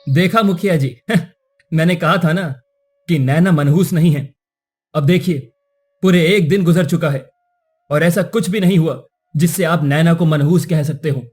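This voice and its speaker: A man's voice: fairly high pitch, a little slow, darkish tone, slightly rough, soft background music. A man playing a principled young prince in a cartoon story, speaking earnestly and formally. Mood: defending.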